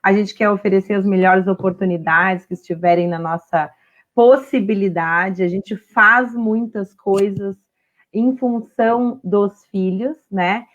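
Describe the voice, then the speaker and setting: A woman's voice: medium pitch, fairly even intonation, a little slow. Female Brazilian livestream host, mid-range voice, conversational interviewer style with frequent tag questions, somewhat lo-fi sound. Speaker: host of an online women's talk series. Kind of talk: casual livestream interview talk.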